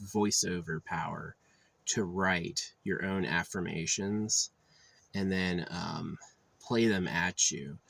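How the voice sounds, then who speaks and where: A man with a deep voice talking in a loose, rambling monologue full of fillers and restarts. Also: creaky, slightly rough. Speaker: vlogger.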